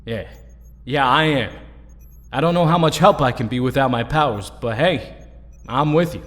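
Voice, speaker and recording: A man's voice: mid-range voice, moderate pace, a bit bright, close to an everyday voice. A man playing an embittered, resolute young former superhero in an audio drama, earnest and blunt. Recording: long hall reverb.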